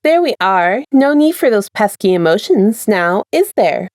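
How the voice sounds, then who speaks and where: A woman's voice: medium pitch, very animated, unhurried, a little mellow, no echo, clean studio sound, voice pushed up. A woman voicing a chipper, sinister female airline attendant with a sing-song, falsely sweet customer-service manner. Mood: smugly cheerful.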